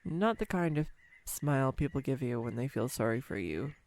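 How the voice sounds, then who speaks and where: A woman's voice: extremely low pitch, animated, normal speed, darkish tone. A woman playing a clumsy, sweetly awkward young woman smitten on a first date, speaking tenderly and playfully to the listener in a romantic audio roleplay. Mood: tender, sincere. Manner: tender, playful, hesitant, self-deprecating. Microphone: close USB microphone, dry room.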